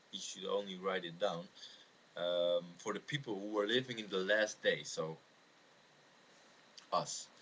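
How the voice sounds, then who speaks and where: A man with a Dutch accent explains his views in a halting, earnest way, speaking unscripted into a webcam. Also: fairly low pitch, pushed and bright, breathy edge. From the Netherlands. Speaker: amateur video maker answering a viewer's question. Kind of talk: unscripted spoken video response on religion. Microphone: webcam microphone. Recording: noisy home webcam recording.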